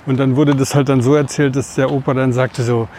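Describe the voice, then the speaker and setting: A man's voice: mid-range voice, level tone, a little fast, warm tone, slight background noise. A man from southern Hesse in his forties chats on a podcast in an ordinary mid-range voice. He wanders from one personal anecdote to the next in an easy, conversational way. A faint background ambience sits under his voice. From southern Hesse, Odenwald. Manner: rambling anecdotal storyteller. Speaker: podcast co-host. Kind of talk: casual conversation.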